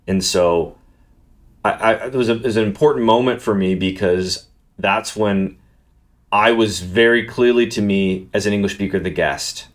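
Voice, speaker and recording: A man's voice: lowish voice, normal speed. A man talking through a book review in an earnest, thoughtful way, often restarting his words. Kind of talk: unscripted book review monologue.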